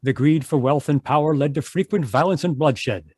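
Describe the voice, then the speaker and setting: A man's voice: a little high, normal speed, darkish tone. A man narrating an old-time radio adventure as its announcer, reading scripted lines.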